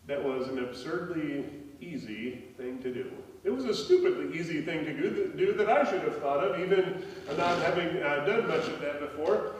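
A man's voice: a little high, expressive, normal speed. A man in his forties, a pastor preaching a conversational sermon from a pulpit, telling stories with a few fillers. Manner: conversational storytelling with gestures, frequent fillers. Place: church sanctuary, at a pulpit. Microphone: pulpit microphone, filmed by a far camera. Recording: echoey church hall, voice from a distance.

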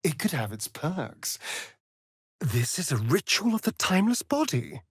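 An actor playing a centuries-old, flamboyant and sardonic vampire rogue in a video game, with an arch, theatrical drawl. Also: male voice, a little high, sing-song, measured pace, husky, no echo, clean studio sound, exaggerated voice. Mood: haughty, playful. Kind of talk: video game character acting.

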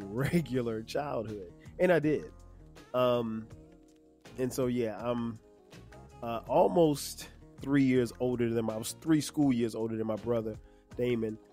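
Relaxed fifty-something man hosting a podcast. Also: medium pitch. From South Carolina. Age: 50s. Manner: relaxed, conversational, many fillers. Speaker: podcast host. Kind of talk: casual solo podcast monologue.

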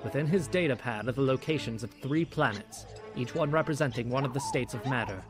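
A man voicing a solemn priestess of an alien order in a comic dub, formal and measured. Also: medium pitch, close to an everyday voice. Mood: solemn, ominous. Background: music.

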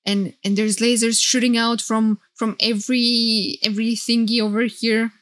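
A woman streamer giving excited, casual reaction commentary in a playful, flirty, gushing way. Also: a little high, normal speed. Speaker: streamer reacting to game trailers. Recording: loud music behind. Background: game trailer music and sound.

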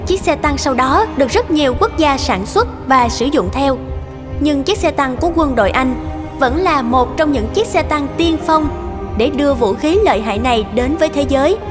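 High-pitched woman narrating over music. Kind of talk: scripted story narration.

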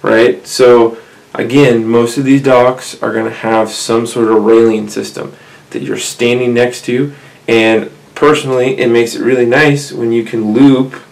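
A man's voice: medium pitch, level tone, a little slow, creaky, low-quality recording. A man, casually explaining fishing gear to camera from a small room, often asking "right?". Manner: conversational, addresses viewers as guys, frequent "right?". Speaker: outdoors channel host. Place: small indoor room, seated at desk.

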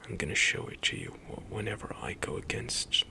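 A young man whispering relaxed commentary as he plays through a game for an ASMR stream, often trailing off mid-thought. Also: low-pitched, brisk, gravelly.

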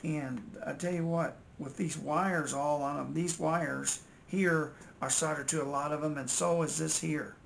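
A man's voice: a little high, unhurried, creaky, few pauses. An older American man with a folksy way of thinking aloud, casually narrating as he works at a repair bench. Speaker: electronics repair hobbyist and video host. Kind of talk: casual muttered narration while working at repairs. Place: indoors at a repair workbench. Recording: steady noise, home-made workbench recording.

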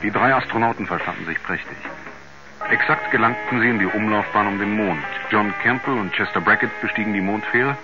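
Man acting a grave writer narrating an old radio drama, deep measured voice, music clearly behind him. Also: muffled recording, close to an everyday voice. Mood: sober, foreboding. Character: sober male writer recalling his astronaut friend. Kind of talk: 1970s German radio play narration. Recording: band-limited 1970s radio recording with music.

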